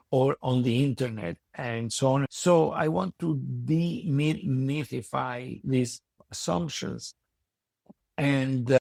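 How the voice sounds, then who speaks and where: A man with a strong Italian accent speaking reflectively in an interview, pausing to search for his words. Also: mid-range voice, creaky, a little mellow, no echo. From Italy. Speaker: podcast guest and university educator. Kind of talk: conversational interview.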